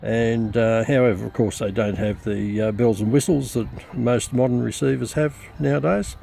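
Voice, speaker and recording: A man giving a halting, matter-of-fact, unscripted demonstration of gear as a hobbyist. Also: mid-range voice, steady tone, vocal fry, warm tone. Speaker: radio hobbyist presenter.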